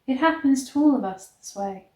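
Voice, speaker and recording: Soft, soothing storybook woman, read by a woman, echoey. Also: sits high, lively intonation, moderate pace, pitched above the performer's own voice. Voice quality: soft, breathy. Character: soothing, breathy long-haired woman welcoming a newcomer. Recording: echoey room.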